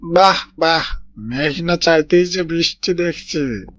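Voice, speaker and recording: A man's voice: on the high side, slow pace, a bit bright, dry recording, highs cut off. A man voicing a wicked, sly old fox in a children's cartoon, with a menacing, mocking manner. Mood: greedy delight. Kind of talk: children's moral-story cartoon dialogue.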